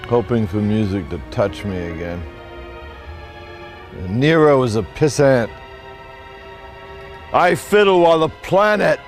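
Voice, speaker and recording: A street poet in his sixties declaims his verses outdoors with a mid-range voice, veering from hushed, brooding asides to full-throated shouts. He speaks slowly and deliberately, leaning hard on each word. Clear music plays behind him. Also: mid-range voice. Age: 60s. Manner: theatrical, declamatory, swinging from hushed musing to shouting. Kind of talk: poetic street monologue.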